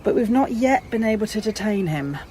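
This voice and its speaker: Actress as a measured policewoman, mid-pitched. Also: lively intonation, mellow, a little hiss or hum, lightly acted. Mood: businesslike. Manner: measured, formal, apologetic. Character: composed, official police officer giving careful warnings. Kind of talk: naturalistic radio drama acting.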